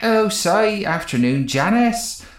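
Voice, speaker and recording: An actor playing a dim, unflappable British spaceship cleaner, laid-back and matey, in a farcical audio comedy. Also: male voice, high voice, lively intonation, slow, echoey room, noisy background.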